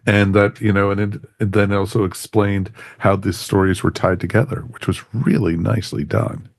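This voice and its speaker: A man with a very low voice talks casually as a podcast co-host. He sounds enthusiastic and chatty, reviewing in a loose conversational way, recorded close and dry. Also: level tone, normal speed, gravelly, dry recording.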